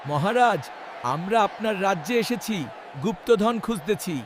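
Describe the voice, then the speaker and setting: A man's voice: high-pitched, animated, measured pace, music in the background. A man voicing a polite, earnest treasure seeker in a children's cartoon. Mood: respectful pleading.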